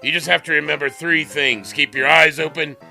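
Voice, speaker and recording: A man's voice: a little high, steady tone, fairly quick, creaky, sharp tone, soft background music, heavily acted. An actor playing an expansive, streetwise man in a 1920s audio drama, drawing out his words emphatically. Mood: wistful, advising.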